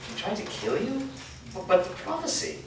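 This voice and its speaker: A man playing a worried, guilt-ridden father in a staged reading, speaking in a halting, earnest way. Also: high voice, huge pitch swings. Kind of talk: staged reading of a fantasy drama. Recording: echoey room, distant mic, clear noise.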